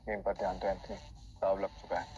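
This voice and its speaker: A cool, defiant gambler hero, voiced by a man in an audio drama. His low voice stays calm and level over a noisy background. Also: brisk, natural voice. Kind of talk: Hindi audio drama acting.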